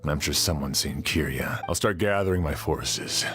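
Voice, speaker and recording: A man voicing a determined middle-aged town sheriff in a video game, brisk and firm. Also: a bass voice, a bit bright. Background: game music.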